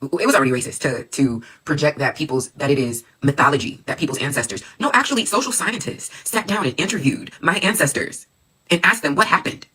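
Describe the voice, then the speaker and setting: A woman tells a story at a rapid clip in a low-set voice that is still bright and edged with husk. She is animated, dropping calm for sudden exclamations. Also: breathy edge, rough recording. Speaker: doctoral student and class participant. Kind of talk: storytelling monologue.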